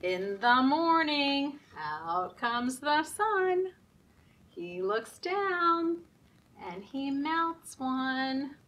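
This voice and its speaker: A woman leading story time for young children in a sing-song, deliberate, encouraging way, often asking the children questions. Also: sits high, sing-song, fairly bright tone, distant mic.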